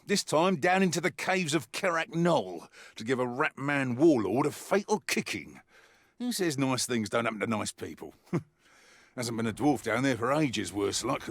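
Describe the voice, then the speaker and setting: An actor playing a wry, world-weary mercenary soldier in a video game, with a colloquial, grumbling delivery. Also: male voice, medium pitch, expressive, normal speed, dry recording. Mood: sardonic. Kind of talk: scripted in-character mission briefing monologue.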